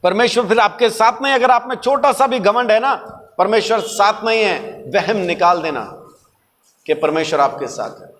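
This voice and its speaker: A male preacher with a notably high voice, recorded with long hall reverb. He delivers a sermon emphatically, posing questions and repeating key words as he teaches. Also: moderate pace, a bit bright. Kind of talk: sermon with rhetorical questions and everyday anecdotes.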